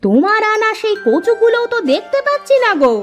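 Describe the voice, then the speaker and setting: A woman acts out a poor farmhand's sharp-tongued wife in a village cartoon. The voice is piercingly high and theatrical, leaping around in pitch. Faint music runs underneath. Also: big pitch swings, fairly quick. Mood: astonished. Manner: scolding, theatrical. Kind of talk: Bengali cartoon voice acting.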